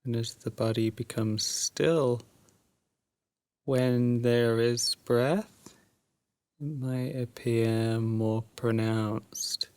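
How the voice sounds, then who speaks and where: Male, sleep meditation guide, extremely slow, flat intonation, soft and soothing, breathy, long pauses. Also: mid-range voice, dry recording.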